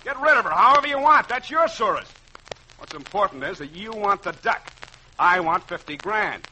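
A man playing a tough, wisecracking private detective in an old-time radio drama, with a terse, slangy, deadpan delivery. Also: high-pitched, normal speed, slight background noise, exaggerated voice, rough recording. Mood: hard, forceful. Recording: vintage radio recording with music behind.

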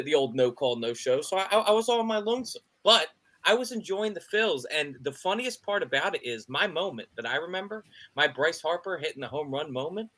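A man from the Philadelphia area co-hosting a sports podcast, talking in a loose, excitable way. His voice is bright and forceful, and he laughs often and stumbles over repeated words as he tells a story. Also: mid-range voice. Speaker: podcast co-host. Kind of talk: casual sports chat.

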